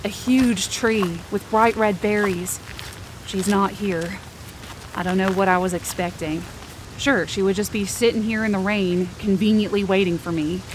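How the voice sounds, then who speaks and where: This is a woman narrating a first-person fiction podcast in a tense, searching inner voice. Her pitch sits in a middle range. Faint background noise runs underneath. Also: fairly even intonation, unhurried. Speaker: narrator and lead performer.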